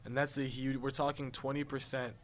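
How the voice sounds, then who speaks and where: A man's voice: medium pitch, level tone, a little fast, a little hiss or hum. A teenage boy co-hosting a student radio show, talking earnestly and stressing his points. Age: teens. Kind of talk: casual chat.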